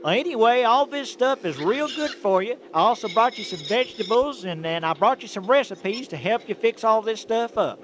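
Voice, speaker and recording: Friendly country hauler, Southern US accent, rambling, high voice, comic radio drama. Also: male voice, expressive, moderate pace, few pauses, fairly bright tone. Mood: helpful, chatty. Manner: rambling, homespun. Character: friendly, folksy, good-natured country trucker. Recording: noisy radio drama recording.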